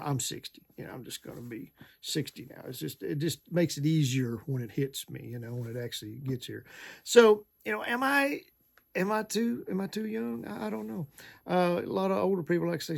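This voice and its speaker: Late 50s guy, kinda gravelly, rambly, talks to his viewers with lots of uhs and you knows. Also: on the high side. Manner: rambling, frequent fillers and restarts. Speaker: vlogger. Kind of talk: casual talk to camera.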